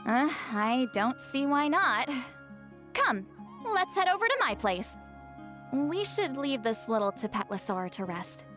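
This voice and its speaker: A fairly high female voice of a composed, thoughtful scholar in a video game. She speaks in measured, articulate phrases, like a helpful guide. Faint music sits behind the voice. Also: big pitch swings. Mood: reassuring, calm. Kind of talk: video game voice acting, scripted dialogue.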